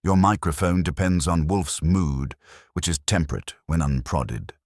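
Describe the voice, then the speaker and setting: A man's voice: deep voice, measured pace, darkish tone, no echo. A man voicing a wry, unflappable detective's assistant in an audiobook, with dry, witty, firm delivery.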